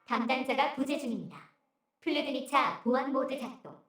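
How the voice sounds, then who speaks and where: A woman's voice: sits high, animated, moderate pace, reverberant, heavy background noise. A woman voicing an impassive, officious female AI of a delivery machine in a game, giving formal clipped announcements.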